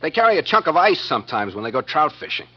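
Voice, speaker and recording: A chipper, easygoing garage mechanic in a vintage radio play. His husky voice is fairly high and very lively in pitch. The recording is dull and old. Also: fast talker. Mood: cheerful. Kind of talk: 1940s radio drama acting.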